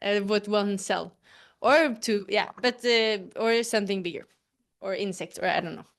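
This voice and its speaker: A woman's voice: mid-range voice, normal speed. A woman with a Swedish accent, a native Swedish speaker, giving hesitant interview answers and searching for English words. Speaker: actress interviewee.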